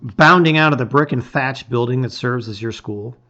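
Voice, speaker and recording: A middle-aged man presenting and reading aloud with expressive emphasis, his phrases often trailing off softly. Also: mid-range voice, normal speed. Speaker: host reading a gamebook aloud. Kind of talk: casual intro and dramatic read-aloud of storybook narration. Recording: slightly dull, lo-fi sound.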